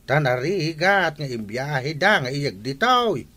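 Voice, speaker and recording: A folksy village uncle talks slowly with an Ilocano accent. His pitch swoops about in a bright, forceful voice, in the manner of radio comedy. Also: sing-song, heavily acted. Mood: chatty.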